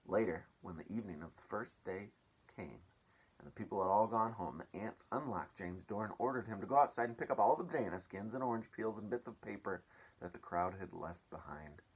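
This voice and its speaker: A man reading a children's story aloud as an expressive storyteller. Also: fairly low pitch, a little fast, breathy edge, low-quality recording.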